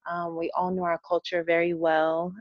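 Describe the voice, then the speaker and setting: A woman from California, answering interview questions in a relaxed way with many fillers. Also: lowish voice, normal speed, no room sound, lo-fi sound. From San Jose, California. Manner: relaxed, lots of "um" and "you know". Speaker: guest, pharmacist and business owner.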